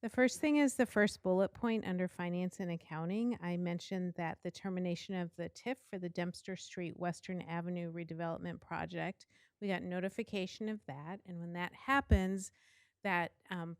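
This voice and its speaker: A woman superintendent giving a finance report to a board in a quiet voice, speaking directly into the microphone. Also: fairly low pitch, moderate pace, clean tone, dry recording. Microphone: talking directly into the microphone.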